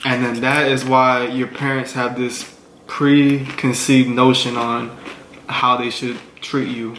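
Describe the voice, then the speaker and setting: A man's voice: mid-range voice, flat delivery, slow pace, a bit bright. Teasing young man in an echoey room. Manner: teasing, recaps and prods with questions. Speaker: co-host. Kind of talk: casual couple chat. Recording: echoey room with clear background noise.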